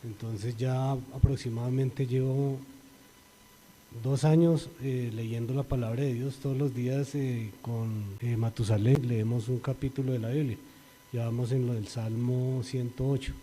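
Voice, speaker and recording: Colombian man, dark voice, reverberant hall. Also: mid-range voice, steady tone, a little slow, a little hiss or hum. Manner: earnest, rises and grows louder when quoting scripture. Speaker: church member giving testimony. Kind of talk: spoken religious testimony.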